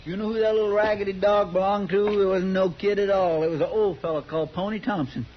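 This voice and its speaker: An actor playing an eager, chatty, respectful marshal's helper with rustic, folksy speech in a 1950s radio Western. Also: male voice, high-pitched, steady tone, quick pace, lightly acted. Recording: dull, noisy vintage radio recording.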